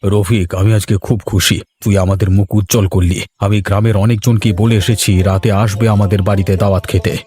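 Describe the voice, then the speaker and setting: A man's voice: fairly low pitch, flat delivery, brisk, warm tone, breathy edge. A man playing a proud, cheerful middle-aged village father in a cartoon, plainly telling his family what to do. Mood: happy, proud. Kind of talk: Bengali moral-story cartoon dubbing.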